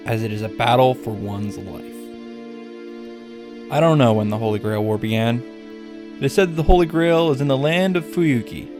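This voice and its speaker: A man recapping a visual novel as a host, wry and irreverent, with joking asides and swearing for comic effect. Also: mid-range voice. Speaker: video game summary host. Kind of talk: sarcastic story recap with asides.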